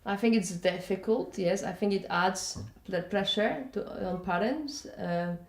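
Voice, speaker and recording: A woman's voice: medium pitch, a little slow. A woman from Poland with a Polish accent, speaking conversationally and in a rambling way as she answers interview questions. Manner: conversational, rambling, checks in with "you know" and "yeah?". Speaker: interviewee, festival organiser. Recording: echoey room.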